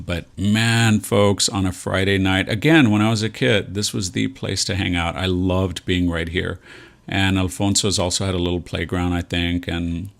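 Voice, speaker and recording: A man in his forties with an American accent, reminiscing casually to viewers in a folksy, rambling, nostalgic way with a somewhat monotone voice. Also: deep voice, creaky. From San Antonio, Texas, USA. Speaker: vlog host and real estate agent. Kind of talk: casual reminiscing walk-and-talk vlog.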